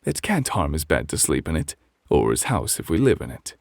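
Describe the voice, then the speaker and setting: A man voicing a sly, lazy male possum or raccoon from a bedtime story, speaking in a hushed, smug drawl. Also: a bass voice, wildly swooping pitch, normal speed, vocal fry, no room sound, studio quality, full character voice. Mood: sly, conspiring.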